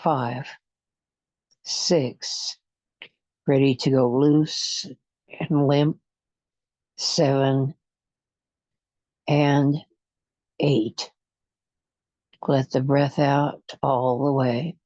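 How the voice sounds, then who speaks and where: A guide leading a guided imagery relaxation session, speaking in a soothing, hypnotic way with long pauses and slow counting, on a dull lo-fi recording. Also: mid-range voice, little pitch movement, a little mellow, dry recording.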